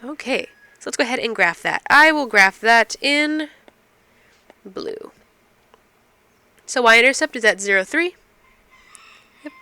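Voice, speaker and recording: A woman's voice: fairly high pitch, animated, a little slow, pauses often, sharp tone, clean tone, no room sound. A woman instructor explaining math step by step, asking and answering her own questions, while getting over a cold. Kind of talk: recorded math lecture.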